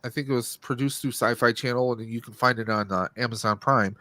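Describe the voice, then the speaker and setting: A man co-hosting a live stream, giving casual movie commentary in a rambling, halting way as he searches for words. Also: medium pitch, steady tone, bright, forceful tone, dry recording.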